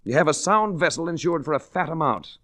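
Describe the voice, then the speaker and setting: A man's voice: a little high, lively intonation, brisk, sharp tone, no room sound, clean studio sound. An actor playing a wry, hard-boiled adventurer-investigator who narrates his own case in a 1940s radio drama. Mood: probing. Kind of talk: 1940s radio detective acting. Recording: restored 1940s radio recording.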